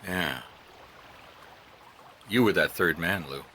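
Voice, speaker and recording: Shrewd detective in a radio drama, low hard sharp voice, wry n accusing, bit of noise behind. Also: male voice, takes it slowly, exaggerated voice. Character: shrewd, dogged male private detective confronting a liar.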